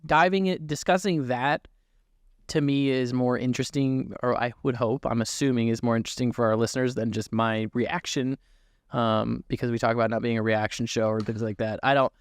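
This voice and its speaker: A man's voice: mid-range voice, fairly even intonation, fairly quick, clear, resonant tone, dry recording, clean studio sound. A man co-hosting a casual racing podcast, reasoning aloud and analysing as he goes, rephrasing his points along the way. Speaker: podcast co-host and racing driver. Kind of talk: casual sports podcast discussion.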